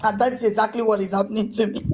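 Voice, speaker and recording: A woman's voice: mid-range voice, fairly even intonation, quick pace, darkish tone, husky. A woman, a caller talking earnestly and repeating her point. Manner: earnest, emphatic, repeats herself. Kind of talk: call-in chat.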